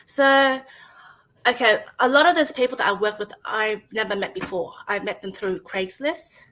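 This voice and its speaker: A woman's voice: mid-range voice, moderate pace, a bit bright, rough recording. A woman in her thirties, a screenwriter guest on a video call, chatting casually, laughing often and acting out what people said. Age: 30s. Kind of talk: casual interview chat.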